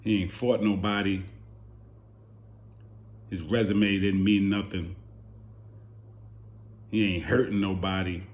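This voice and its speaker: Hoarse man ranting about boxing. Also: low-pitched, steady tone, slow, pauses often. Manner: heated, repeating rhetorical questions. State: hoarse from yelling. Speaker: boxing channel host. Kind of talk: animated boxing commentary rant.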